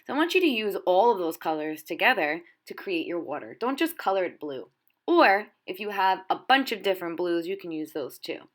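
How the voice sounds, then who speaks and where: A woman with a fairly low voice speaks as an elementary art teacher. She explains a drawing technique step by step, addressing children directly and encouragingly. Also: big pitch swings, fairly quick. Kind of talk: instructional demonstration for children.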